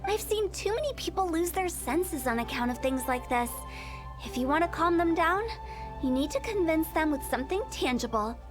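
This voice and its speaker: A woman's voice: very high-pitched, animated, moderate pace. A woman voicing a playful young female funeral parlor director in a video game, breezy and teasing as she explains things. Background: game music.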